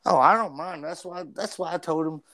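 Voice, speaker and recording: A man's voice: a little high, a little fast, sharp tone, no room sound. A man with a stutter co-hosting a casual podcast, talking animatedly and profanely, tripping over and repeating his words. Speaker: podcast co-host.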